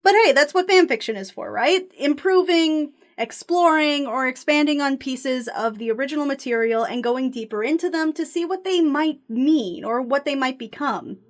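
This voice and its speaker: A woman's voice: sits high, expressive, moderate pace, hardly any pauses. A woman giving opinionated fan commentary in a conversational, self-questioning way, as the host of a video essay. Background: background music.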